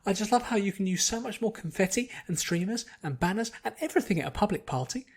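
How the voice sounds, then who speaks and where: A man voicing a bubbly, hyperactive young female cartoon pony, gushing and rambling excitedly. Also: sits high, fast talker, breathy edge, voice pushed up, heavily acted. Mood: gushing, delighted. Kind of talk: fan fiction audiobook character voice.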